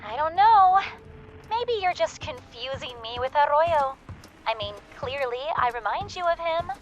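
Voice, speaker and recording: An actress voicing a bubbly, impatient young female sidekick in a video game, playful and excitable. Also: high-pitched, a little slow, muffled recording, soft background music. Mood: bored, teasing.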